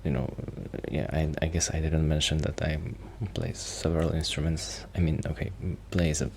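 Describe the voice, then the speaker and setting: A man playing a shy, friendly young musician in a soft ASMR roleplay, rambling casually and hesitantly in a breathy, often whispered voice. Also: rumbling low, level tone, normal speed, slight background noise.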